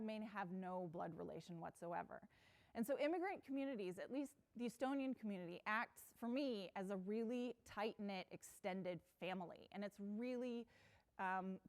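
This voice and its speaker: A woman from the United States giving an earnest, personal conference talk on stage, with some fillers and restarts. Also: medium pitch. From United States (Pacific Northwest). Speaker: conference speaker.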